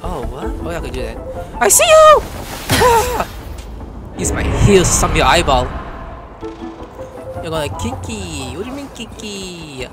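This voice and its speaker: Excitable guy yelling at a game. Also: sits high, unhurried, long pauses, loud music behind the voice. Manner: excitable, swearing, animated. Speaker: gameplay commentator. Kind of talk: casual let's play commentary.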